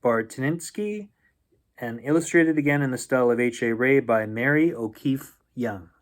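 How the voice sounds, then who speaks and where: A man reading a children's picture book aloud as a bedtime storyteller, expressively and with drawn-out suspense. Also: mid-range voice.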